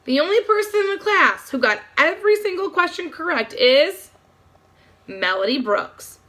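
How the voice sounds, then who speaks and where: A woman voicing a stern male schoolteacher in a storybook, formal and deliberate. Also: very high-pitched, very animated, a bit bright, voice pushed up, exaggerated voice. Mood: announcing.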